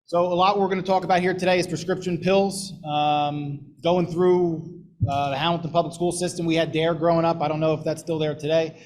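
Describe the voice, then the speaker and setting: A man's voice: on the high side, flat delivery, a little fast, a little mellow. A man, a police sergeant from New Jersey, giving a plain-spoken presentation into a microphone at a public meeting, checking in with "okay?". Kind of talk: public presentation to a school board. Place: meeting room. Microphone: handheld microphone.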